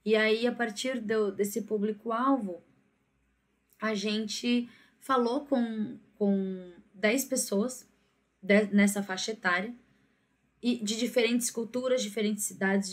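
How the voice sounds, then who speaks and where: A young Brazilian woman giving an academic presentation in a halting, reflective way, often checking in with small tag questions. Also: mid-range voice, fairly even intonation. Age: young adult. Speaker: student documentary maker presenting a project. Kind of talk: academic presentation, semi-spontaneous explanation.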